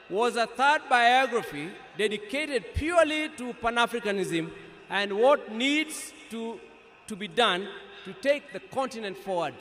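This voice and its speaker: High-pitched Kenyan man orating in long reverb. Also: a bit bright. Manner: solemn, emphatic oratory with deliberate phrasing. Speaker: eulogist reading a tribute. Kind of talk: formal scripted eulogy read aloud to a gathering. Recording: long reverb of a large public address.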